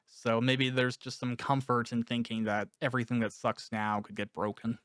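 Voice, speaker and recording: Male interviewer-author, mid-pitched smooth voice, dry sound, reflective and candid casual talk. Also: little pitch movement, moderate pace, a bit bright, no echo. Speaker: author interviewing a cast member.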